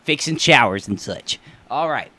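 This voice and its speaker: A man's voice: medium pitch, lively intonation, moderate pace, a crowd in the background. A young man co-hosting a casual sports podcast, rambling and joking, laughing often. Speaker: podcast co-host.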